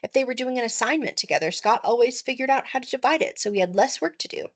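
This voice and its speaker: A woman's voice: a little high, expressive, quick pace. A woman narrating a children's storybook expressively, with dramatic emphasis, like a teacher reading aloud.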